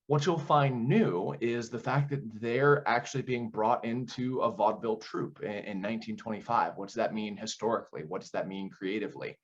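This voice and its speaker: Male director as interviewee, lowish pitch, conversational explainer who poses his own questions, dull recording. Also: brisk, few pauses. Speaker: audio play director being interviewed.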